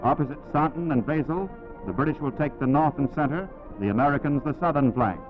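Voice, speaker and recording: A man's voice: fairly high pitch, brisk, loud music behind the voice. A man narrating a scripted 1940s wartime newsreel in a dramatic, declamatory style with emphatic short phrases. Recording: tinny 1940s newsreel soundtrack, narrow band.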